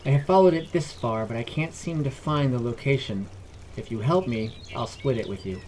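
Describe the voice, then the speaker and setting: A man's voice: medium pitch, moderate pace, darkish tone, slight background noise, close to an everyday voice, poor audio. A man voicing a terse, standoffish female dwarf thief in a fantasy audio story. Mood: guarded, blunt. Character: curt, guarded young female dwarf thief. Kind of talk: audio fiction character dialogue.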